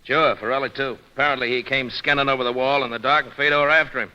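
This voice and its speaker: A deferential male cop in an old radio crime drama. He reports dutifully in a plain, mid-pitched voice. The recording is dull with faint noise. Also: fairly even intonation, fast, bright, forceful tone. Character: deferential, plain-spoken male police underling.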